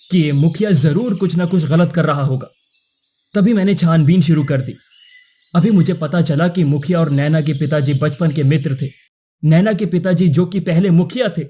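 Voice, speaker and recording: Husky, mellow young prince played by a man. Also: a little high. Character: righteous young prince defending a falsely accused girl. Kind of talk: Hindi cartoon moral-story dialogue.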